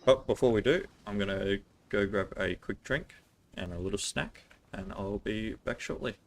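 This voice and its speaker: A man's voice: on the low side, normal speed, creaky, a little hiss or hum. A man doing casual livestream commentary on a video game, thinking aloud in an informal, offhand way. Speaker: game streamer.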